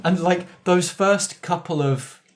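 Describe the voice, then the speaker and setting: A man's voice: high voice, slow pace. A man talking casually as the game master, looking back over a tabletop game with the players. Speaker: game master of an actual-play podcast. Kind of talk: casual post-game discussion.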